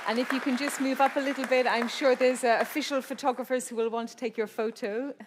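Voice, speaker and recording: A woman's voice: sits high, steady tone, fast. Upbeat woman hosting a live ceremony. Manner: upbeat, chatty, self-correcting with small laughs. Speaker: ceremony host. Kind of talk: live award ceremony announcing. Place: large hall with audience. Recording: live event audio with faint crowd and noise.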